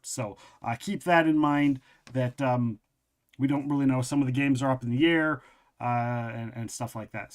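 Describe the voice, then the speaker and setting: A man of ordinary pitch chats casually to his viewers while he plays. His delivery is loose and rambling, and he repeats phrases for emphasis. He keeps the easy, unscripted tone of a live stream host.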